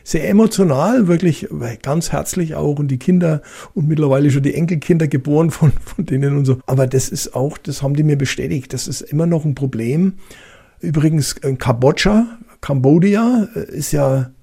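A man's voice: fairly high pitch, normal speed, creaky, dark tone, husky. A middle-aged man with a Franconian German accent, a former police officer telling stories in a loose, colloquial way with many fillers and restarts. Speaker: retired police officer guest. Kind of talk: casual anecdotal storytelling.

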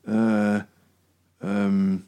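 Male podcast co-host, lowish voice, informal and anecdotal delivery. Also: little pitch movement, drawn-out words, mellow, smooth. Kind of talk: casual anecdotal chat.

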